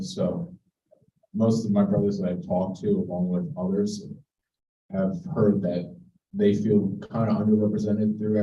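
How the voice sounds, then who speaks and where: Low-voiced young man, echoey room. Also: mellow, far from the mic, dull audio, a little hiss or hum. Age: young adult. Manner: casual, repeats words when starting sentences. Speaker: student senate candidate.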